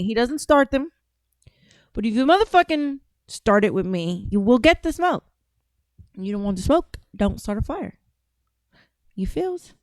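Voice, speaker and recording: A woman hosting a casual call-in show. Her voice sits fairly high. She talks bluntly, swears freely and laughs often, close to a dry microphone with no room sound. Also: darkish tone, dry recording. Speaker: podcast host taking calls.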